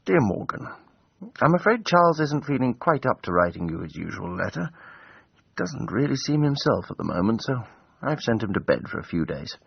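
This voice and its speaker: Suave English Edwardian gentleman, expressive delivery. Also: mid-range voice, brisk, gravelly, breathy edge, dry recording, exaggerated voice. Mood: wry, composed. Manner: urbane, wry, formal old-fashioned phrasing. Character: masked Edwardian gentleman adventurer, suave, breezy, self-regarding. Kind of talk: period radio drama acting. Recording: dull, band-limited broadcast audio.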